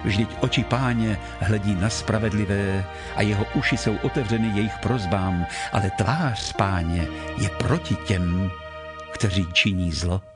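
A man with a fairly low voice reads scripture for an audio Bible. His delivery is solemn and measured, each phrase set down deliberately. Music plays clearly behind him throughout. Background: background music.

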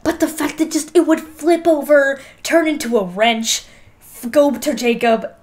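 Youngish woman podcaster, kinda high voice, super informal, swears a lot and really leans on her points. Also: unhurried, a little mellow. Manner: informal, sweary, emphatic, frequent "like". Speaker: podcast co-host. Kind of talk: casual film discussion chat.

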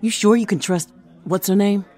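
A woman's voice: mid-range voice, steady tone, normal speed, darkish tone, lightly acted. A woman playing a sceptical, probing special agent in naturalistic audio drama dialogue.

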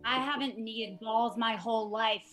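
A woman's voice: a little high, fairly even intonation, normal speed, over music, close to an everyday voice. A woman playing an earnest, tough female cop with dramatic delivery in a comedy table read. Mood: determined.

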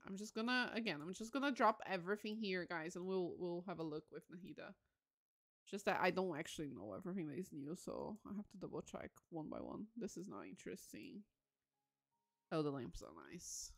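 A woman chatting casually on a game livestream, excitable and gushing, often breaking into exclamations. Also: lowish voice, normal speed. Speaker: live streamer.

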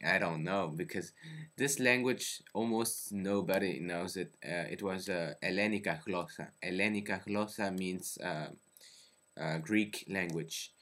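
A man's voice: sits low, fairly bright tone. A young man in his twenties, a native Teochew speaker, talks to his webcam from a small bedroom, hesitant, with many fillers and self-corrections. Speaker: vlogger. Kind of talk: casual talk to camera. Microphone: webcam at close range.

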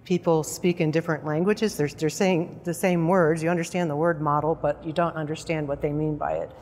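A woman researcher explaining her work in an interview, measured and explanatory. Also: deep voice, fairly even intonation, darkish tone, a little hiss or hum.

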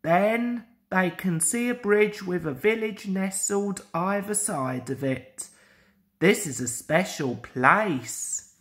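A woman reading a children's story aloud in an expressive, deliberate way. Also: mid-range voice. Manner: slow, deliberate, animated delivery. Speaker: storybook reader.

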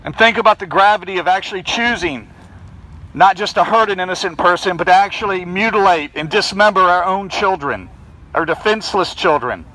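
A man's voice: high voice, lively intonation, a little fast, bright, forceful tone, rough recording. A man preaching in the open air outside a building, calling out insistently to people passing by. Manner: projecting, insistent pleading. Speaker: street preacher. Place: outdoors by a fence and bushes.

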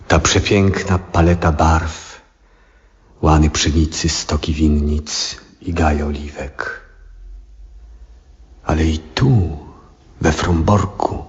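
An actor playing a weary old astronomer near death in a 1970s Polish radio drama, reflective and full of awe, pensively questioning himself in a breathy, often hushed voice. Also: male voice, low-pitched. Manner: slow, pensive soliloquy with rhetorical questions. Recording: dull, muffled 1970s radio recording.

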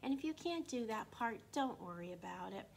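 A woman in her sixties with a slightly froggy throat, hosting an interactive read-aloud for young children in an animated, encouraging way. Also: medium pitch, normal speed, gravelly. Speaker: children's storytime host at a library. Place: small indoor room. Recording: constant room noise.

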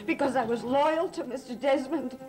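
A woman acting as a frightened, defiant young woman with a high, overwrought voice. She pleads breathlessly in old gothic soap style, with music playing behind her. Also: moderate pace, close to an everyday voice. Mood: anxious, pleading. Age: young adult. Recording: old TV soundtrack with background music.

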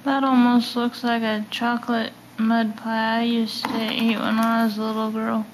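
A woman talks casually from behind the camera in a home kitchen, giving brief, quiet replies. Her voice sits a little high and stays flat, with steady hiss in the recording. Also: moderate pace. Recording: home video with clear hiss.

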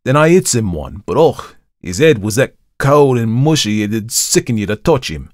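A male narrator plays a young Cockney manservant from a storybook, an old soldier turned servant. He is colloquial and respectful to his master, yet full of indignation. His pitch swings about freely in a dry, close recording. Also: sing-song, moderate pace, dry recording, natural voice. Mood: worked-up, contemptuous. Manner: colloquial, deferential, calls master sir.

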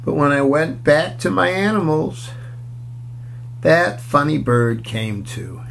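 A man in his sixties reading a picture book aloud to children, playful and expressive, with casual asides as he goes. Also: on the high side, slow pace, vocal fry, slight background noise. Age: 60s. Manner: expressive, playful, inviting listeners along. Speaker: children's storyteller. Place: small room at home, indoors.

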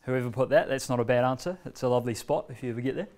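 Brief: New man, 30s, easygoing workshop presenter, mid-pitched voice, room mic from across the room. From New Zealand. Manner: relaxed, conversational, many fillers and pauses; standing, walking between screen and laptop. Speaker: presenter demonstrating a learning tool. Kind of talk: informal workshop demonstration. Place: bright medium-sized meeting room with a screen, indoors. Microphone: far camera.

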